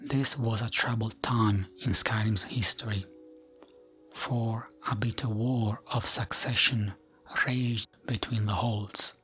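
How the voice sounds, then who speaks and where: A man narrating fantasy lore as soothing ASMR, in a gentle, hushed voice that often slips into a whisper, with music behind him. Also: fairly low pitch.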